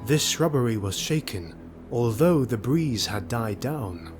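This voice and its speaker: A man narrating a fantasy audio drama in a measured, suspenseful scripted style. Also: medium pitch, lively intonation. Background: music.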